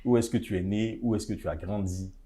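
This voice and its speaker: A man hosting a studio interview show, relaxed and easygoing as he introduces his guest and asks questions. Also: lowish voice, brisk. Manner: easygoing, with frequent backchannel murmurs. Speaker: talk show host. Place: studio set.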